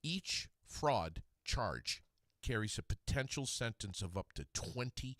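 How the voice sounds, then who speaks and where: Animated male radio host, dry studio sound. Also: fairly low pitch, slow, no echo. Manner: animated, rising up on emphasis. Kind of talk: casual radio talk.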